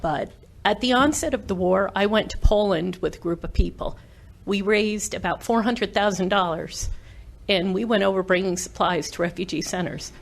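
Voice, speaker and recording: A woman from the United States gives an informal public comment at an indoor meeting. Her voice is of middling pitch. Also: moderate pace, creaky. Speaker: former resident speaking at meeting. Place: indoor township council meeting.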